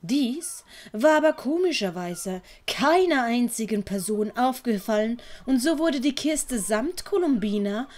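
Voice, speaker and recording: High-voiced Swiss German woman storyteller. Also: animated, measured pace, a little mellow. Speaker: narrator. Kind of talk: scripted children's story narration. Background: dramatic music and sound effects.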